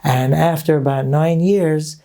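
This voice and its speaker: A middle-aged rabbi from Brooklyn, telling personal stories as a guest in earnest, reminiscing talk sprinkled with Hebrew phrases. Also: male voice, on the high side, steady tone, unhurried, warm tone. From Brooklyn, New York. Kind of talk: storytelling interview talk.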